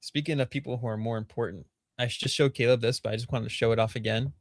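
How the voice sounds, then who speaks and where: A man's voice: mid-range voice, steady tone, fast talker, dry recording, clean studio sound. A young man co-hosting a casual live stream over a video call, talking in a chatty, rambling way with frequent laughs. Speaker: co-host.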